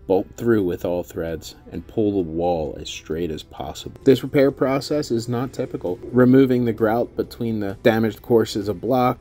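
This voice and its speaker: A man's voice: lowish voice, mellow. A man giving scripted instructional narration as a contractor, in a matter-of-fact, technical way. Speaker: contractor narrating company's repair work.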